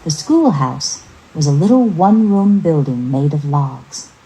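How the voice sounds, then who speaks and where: A woman reading a scripted story as narrator, with measured, expressive dramatic delivery. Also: low-pitched, low-quality recording.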